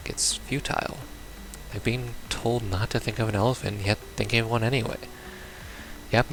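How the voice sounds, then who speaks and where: Low gravelly man with sardonic game commentary. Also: noisy background. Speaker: let's player. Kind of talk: casual gameplay commentary and reading aloud a visual novel. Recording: music behind the voice.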